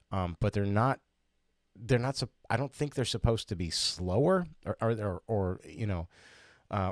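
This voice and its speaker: A man's voice: sits low, darkish tone, no room sound, studio quality. A sniffly man hosting a casual livestream, rambling and chatty with lots of fillers and restarts. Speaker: livestream host.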